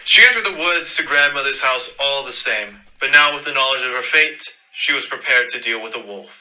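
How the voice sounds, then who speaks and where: A man's voice: mid-range voice, fairly quick, sharp tone, poor audio. A man narrating a scripted fairy-tale radio play in a dramatic storytelling manner.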